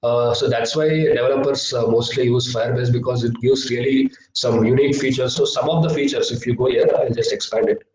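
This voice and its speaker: A young man teaching a live online coding lesson, hesitant with many filler sounds, his voice slightly low from a cough. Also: steady tone, a little fast, few pauses, a little mellow. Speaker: workshop instructor. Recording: echoey, distant laptop mic.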